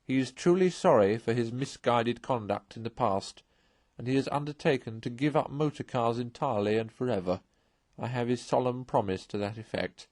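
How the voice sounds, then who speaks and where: A man's voice: mid-range voice, moderate pace, darkish tone, dry recording, close to an everyday voice. A stern and earnest storybook badger, as a male reader puts him on. He speaks firmly and solemnly, like an authority among friends, in a close, dry recording. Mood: kindly, solemn. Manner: solemn, firm, moralizing.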